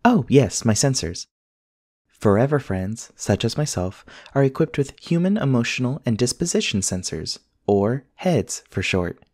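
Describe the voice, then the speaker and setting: A man playing a polite, earnest companion robot in an ASMR roleplay, speaking in formal, measured, precise sentences. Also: mid-range voice, sing-song, darkish tone, dry recording, studio quality. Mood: courteous, helpful. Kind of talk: scripted ASMR roleplay acting.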